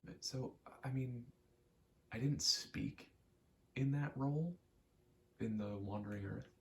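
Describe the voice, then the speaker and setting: A man's voice: mid-range voice, takes it slowly, vocal fry, darkish tone, slight background noise. A young man giving a casual, meandering ASMR ramble while gaming, often interrupting himself in an understated way. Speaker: ASMR creator.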